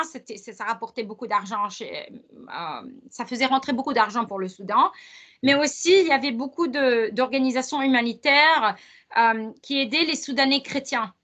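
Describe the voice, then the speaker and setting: Woman, middle-aged, slightly high and bright, earnest explainer with restarts. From Lebanon. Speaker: guest academic. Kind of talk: conversational interview.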